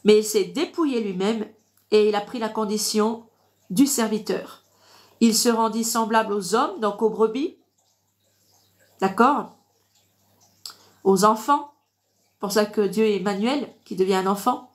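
A woman's voice: medium pitch, measured pace, long pauses. A woman with a congested, blocked-nose voice giving informal Bible teaching on a livestream, didactic and repetitive, punctuating points with amens. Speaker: online preacher. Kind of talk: informal live Bible teaching with verse reading.

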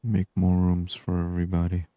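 A man giving soft-spoken ASMR gameplay commentary in a hushed, unhurried murmur, at times near a whisper. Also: deep voice, monotone, smooth, no echo. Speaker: gaming video host.

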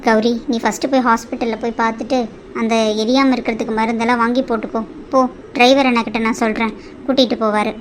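A woman's voice: fairly high pitch, flat delivery, fast, a little hiss or hum, natural voice. A woman acting a protective older mother-in-law in a family melodrama, admonishing with firm authority. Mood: caring, insistent.

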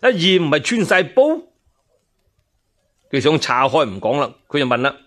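A man's voice: medium pitch, big pitch swings, moderate pace, fairly bright tone. A man with a Cantonese accent narrating as a storyteller in a dramatic, traditional radio style.

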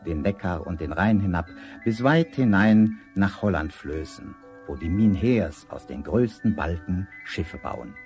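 A man narrating a fairy tale as a storyteller in a measured, scripted 1970s audio drama style. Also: on the low side, a little mellow. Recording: vinyl record transfer with music.

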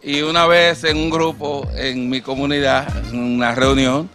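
A man's voice: mid-range voice, flat delivery, measured pace, a bit bright, soft background music. A man hosting a casual TV talk show in a studio, teasing his guests and laughing easily. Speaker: host. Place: TV studio set. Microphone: handheld mic.

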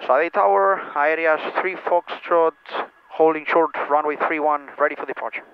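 A man's voice: a little high, moderate pace, slight background noise. A young man making cockpit callouts and radio calls as a pilot, heard through an aviation headset. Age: young adult. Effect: aviation headset intercom. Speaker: pilot at the controls. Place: small aircraft cockpit. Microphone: headset boom mic. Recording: dull headset intercom, music behind.

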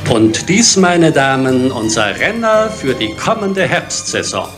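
An actor playing a middle-aged fashion show presenter, giving smooth, boastful, emphatic sales patter into a handheld microphone. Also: male voice, mid-range voice, sing-song, a little slow. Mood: smooth selling. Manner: showy, emphatic sales delivery. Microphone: handheld microphone on stage. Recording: music under the voice.

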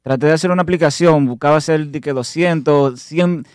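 A man's voice: on the high side, fairly quick, smooth, no room sound, clean studio sound. A Dominican man in his thirties, a singer guesting on a radio show, talking casually and with slang. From Dominican Republic. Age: 30s. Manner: relaxed, slangy, grateful. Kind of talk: casual interview chat. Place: radio studio. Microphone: studio mic with headphones.

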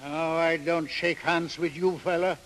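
A man's voice: on the high side, fairly even intonation, measured pace, a little hiss or hum, lightly acted. An actor playing a stubborn, protective old father with a Swedish accent and broken English, in 1930s radio drama. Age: older. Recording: 1930s radio broadcast recording.

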